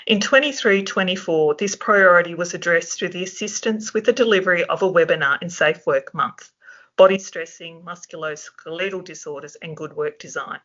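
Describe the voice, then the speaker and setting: A presenter, croaky from a flu, reading a scripted webinar presentation steadily. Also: medium pitch, normal speed, sharp tone, highs cut off. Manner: reads steadily from a prepared script. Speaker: webinar presenter for a safety regulator.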